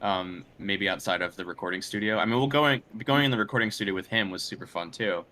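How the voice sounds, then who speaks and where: Low-voiced man in casual interview. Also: fast, pushed and bright. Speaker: interviewed composer.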